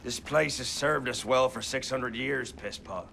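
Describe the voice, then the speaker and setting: An actor playing a gruff, dry, middle-aged assassin mentor in a video game drama. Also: male voice, mid-range voice, measured pace, pushed and bright, a little hiss or hum.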